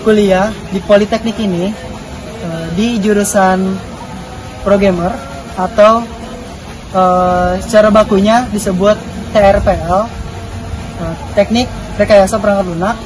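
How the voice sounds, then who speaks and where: A man's voice: high voice, steady tone, a little slow, long pauses, poor audio. A young man, a college student, giving a testimonial about himself and his studies. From Indonesia. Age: young adult. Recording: music over voice.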